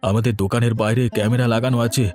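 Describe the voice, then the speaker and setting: A man's voice: medium pitch, level tone, very fast, darkish tone, close to an everyday voice. A man voicing an honest, humble shop worker in a cartoon, earnest and aggrieved as if wrongly accused. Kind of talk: animated cartoon dialogue. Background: music.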